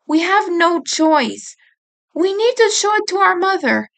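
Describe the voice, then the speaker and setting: Woman playing an insistent, high-pitched creature sister. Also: very animated, measured pace, creaky, a bit bright, full character voice. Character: insistent young female hybrid creature, bold sister.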